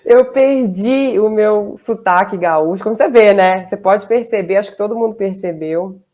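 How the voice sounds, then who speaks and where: A woman's voice: mid-range voice, normal speed. A woman in her thirties with a Goiânia accent, chatting casually as an interview guest, with frequent fillers and small laughs. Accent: Goiás Brazilian Portuguese. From southern Brazil, raised in Goiás. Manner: chatty, warm laughs between phrases, many fillers.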